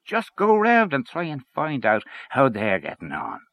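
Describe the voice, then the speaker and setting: A man putting on a scornful grumbler, lively rising voice, dry close sound, gossiping. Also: medium pitch, a little slow, no room sound, voice pushed up, heavily acted. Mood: grumbling. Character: blustering grumbling Dublin man, middle-aged.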